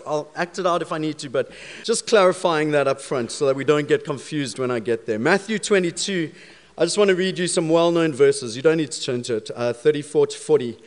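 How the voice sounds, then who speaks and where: South African pastor preaching in a reverberant sanctuary. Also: male voice, a little high. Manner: storytelling, jokey then prayerful. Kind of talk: sermon. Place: church sanctuary. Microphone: handheld or stage microphone. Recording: long hall reverb in sanctuary.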